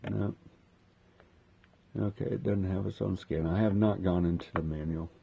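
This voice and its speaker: A man giving a casual hands-on demonstration, thinking aloud in an unhurried way as he handles the gear. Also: low voice, steady tone, vocal fry, dark tone, a little hiss or hum. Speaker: host of a gear unboxing and testing video.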